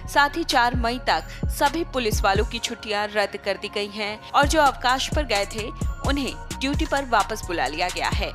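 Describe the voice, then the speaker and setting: High-voiced woman reading news over music. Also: animated, normal speed. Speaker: news anchor reading a report. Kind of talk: scripted news narration. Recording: music playing behind the voice.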